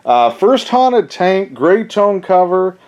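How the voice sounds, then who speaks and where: A man's voice: sits high, sing-song, takes it slowly, a little mellow, dull audio. A middle-aged man, talking in a folksy, rambling, informal way as he casually shows off collectibles. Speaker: collector and seller showing his flea market finds. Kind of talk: casual show-and-tell vlog.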